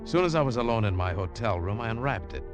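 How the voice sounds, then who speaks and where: An actor playing a hard-boiled, suspicious adventurer, terse and sardonic, in a 1940s radio drama. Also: male voice, lowish voice, animated, fast talker, over music, lightly acted. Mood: wry narration. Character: hard-boiled, suspicious male adventurer narrating his own tale. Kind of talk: 1940s radio drama acting with first-person narration.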